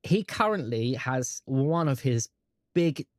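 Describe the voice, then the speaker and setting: Casting: game master narrator, unhurried, descriptive, halting phrases, dry recording. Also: mid-range voice, expressive, no room sound. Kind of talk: narrating a tabletop roleplaying game.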